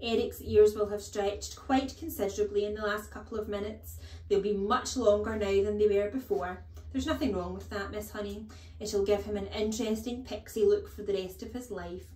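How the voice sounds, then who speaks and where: A woman voicing a bullying, booming headmistress who barks her words slowly and with contempt, for an audiobook. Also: fairly even intonation, roomy sound, natural voice, poor audio. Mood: barking, scornful. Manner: loud, barking, slow and contemptuous. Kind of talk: audiobook character voice.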